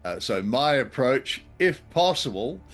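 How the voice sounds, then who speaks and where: A man's voice: mid-range voice, very animated, unhurried. A man co-hosting a podcast, musing and a little hesitant as he casually reviews radio dramas.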